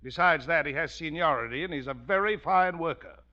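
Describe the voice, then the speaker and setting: Earnest, bright-voiced factory boss. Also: male voice, a little high, fairly quick. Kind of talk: 1940s radio sitcom acting. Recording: dull 1940s radio recording.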